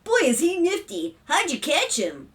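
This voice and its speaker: A woman voicing an eager, chatty and innocent little boy in a storybook, with an excited, earnest, childlike manner. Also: high voice, unhurried, recorded from a distance, pitched above the performer's own voice, full character voice. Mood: eager, proud.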